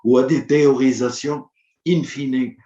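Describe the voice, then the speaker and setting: A man whose first language is Arabic, giving a formal prepared conference address in a measured, rhetorical manner, with long listed points. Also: mid-range voice, fairly even intonation, mellow. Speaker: conference speaker. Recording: roomy, dull lo-fi audio.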